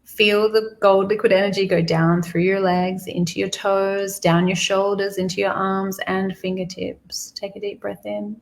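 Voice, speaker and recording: A woman guiding a meditation slowly and soothingly, repeating phrases with pauses, in a creaky, gravelly voice. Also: medium pitch, little pitch movement, slight background noise. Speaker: guided meditation leader. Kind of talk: guided money meditation, spoken softly to the listener.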